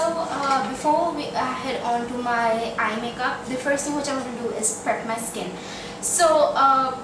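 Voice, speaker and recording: A young woman from India with an Indian English accent touched by Trinidad, chatting through a makeup tutorial in a small bedroom, pleading and full of fillers. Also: a little high. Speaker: beauty vlogger. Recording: roomy, distant mic with background noise.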